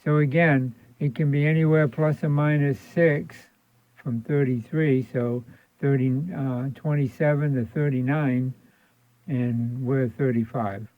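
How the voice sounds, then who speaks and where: A man's voice: mid-range voice, steady tone, unhurried, no echo. A man giving a casual hands-on tutorial, thinking aloud and repeating his points as he explains. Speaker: electronics repair instructor. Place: indoor electronics workbench. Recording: thin phone-like band-limited sound.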